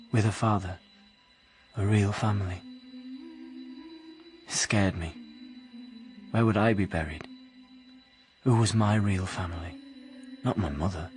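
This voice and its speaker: An actor playing a moody young working-class drifter who narrates and lives out his story in a radio drama. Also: sits low.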